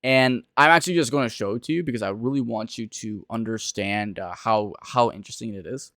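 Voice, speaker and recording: A young man with a smooth, clear voice in a very dry recording. He talks like an online reviewer, casual and rambling, and leans on words for emphasis. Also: lowish voice, fairly quick, fairly bright tone, no echo. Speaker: video reviewer and streamer. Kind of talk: casual reaction commentary.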